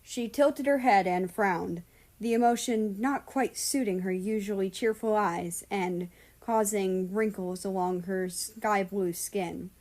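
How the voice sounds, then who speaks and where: Story narrator: woman, mid-range pitch, unhurried scripted reading. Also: darkish tone. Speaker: fan fiction reader, narrator.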